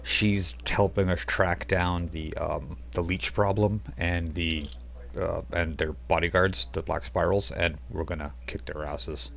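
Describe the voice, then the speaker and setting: A man's voice: low voice, fairly even intonation, a little slow, creaky, a bit bright, a little hiss or hum, full character voice. A man voicing a tough-talking male werewolf brawler, blunt and slangy, ending lines with "man". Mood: gruff friendly.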